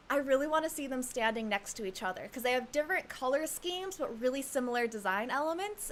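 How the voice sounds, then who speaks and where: A woman's voice: a little high, fairly bright tone, slight background noise. A woman giving chatty, rambling, playful casual commentary as she plays through a game. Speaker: game streamer. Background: game music.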